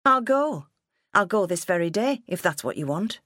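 A woman's voice: mid-range voice, very animated, normal speed, fairly bright tone, no echo, clean studio sound, voice pushed up. A woman voicing a rebellious nineteen-year-old farm daughter in an audiobook, snappy and resentful. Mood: calm, resolute. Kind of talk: audiobook character dialogue.

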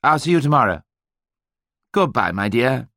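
A man's voice: medium pitch, very animated, normal speed, sharp tone, dry recording, studio quality, voice pushed up. A man voicing a strained, drawling upper-class Englishman bearing bad news in a mannered, gushing way. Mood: solemn, gushing.

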